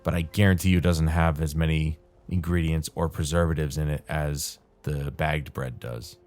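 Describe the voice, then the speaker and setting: Male gaming host, very deep, dry-throated and soothing, loose rambling commentary, light music bed. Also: moderate pace. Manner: calm, soothing, rambling delivery with laughs. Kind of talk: casual rambling commentary over gameplay.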